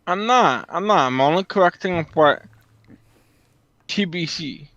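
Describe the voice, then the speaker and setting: A man giving unscripted explanatory commentary, emphatic and halting as he goes point by point. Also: fairly high pitch, lively intonation, fairly bright tone, dry recording. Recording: dull, dry lo-fi microphone.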